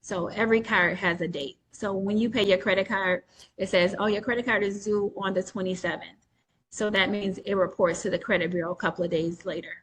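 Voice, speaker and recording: A woman's voice: mid-range voice, fairly even intonation, brisk, husky, low-quality recording. A woman coach on a video call, answering questions at length with frequent restarts and fillers. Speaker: coach selling a mentorship. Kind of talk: live webinar Q&A.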